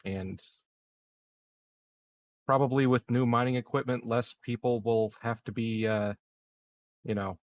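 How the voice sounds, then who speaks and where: A man improvising in-character conversation as a roleplaying player, phrasing things in a measured, halting way. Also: medium pitch, fairly even intonation.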